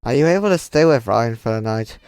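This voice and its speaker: A woman's voice: extremely low pitch, expressive, brisk, darkish tone, clear, resonant tone, dry recording, pitched below the performer's own voice. A woman voicing a caring, protective robot guardian, reassuring yet firm, in a fan comic dub. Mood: concerned, firm.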